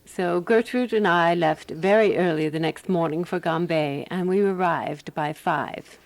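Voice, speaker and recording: An actress playing a calm, practical woman detective in a radio drama, telling her case in a composed, deliberate way. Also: lowish voice. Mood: measured narrating. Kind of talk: radio drama dialogue and first-person narration.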